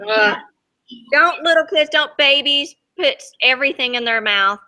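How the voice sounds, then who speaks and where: A woman teacher reading a picture book aloud to young children in an expressive, chatty way, often asking them questions. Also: high-pitched, a little slow. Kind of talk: expressive read-aloud storytime.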